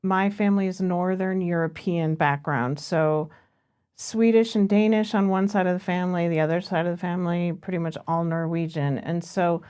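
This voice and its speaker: A woman's voice: lowish voice, fairly even intonation, normal speed, darkish tone, smooth. American woman chatting casually. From Minnesota, United States. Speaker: podcast co-host. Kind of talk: casual conversational storytelling.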